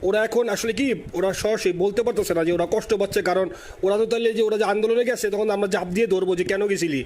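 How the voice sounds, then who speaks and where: A man's voice: high-pitched, fairly even intonation, fast, a little mellow. A man from Bangladesh on a phone call, an eager, deferential party supporter calling from abroad. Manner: deferential, eager, keeps saying yes sister. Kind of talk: phone conversation.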